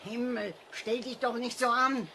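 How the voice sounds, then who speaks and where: A woman's voice: a little high, fairly even intonation, unhurried, over a noisy crowd. A woman playing a cross, nagging woman in a children's radio play, scolding and impatient. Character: cross, nagging woman gathering wood in the forest. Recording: noisy old cassette transfer.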